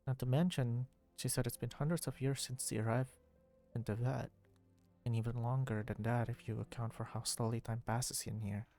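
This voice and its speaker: A man's voice: mid-range voice, fairly even intonation, normal speed, dark tone, faint music behind. A man streaming a video game, giving casual commentary and thinking aloud as he plays.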